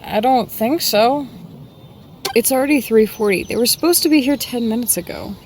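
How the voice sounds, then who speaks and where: A woman playing an anxious, polite, soft-spoken young man in a fan game cutscene, gentle and hesitant. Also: a little high, moderate pace, slight background noise, natural voice. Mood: uneasy. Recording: music behind the voice.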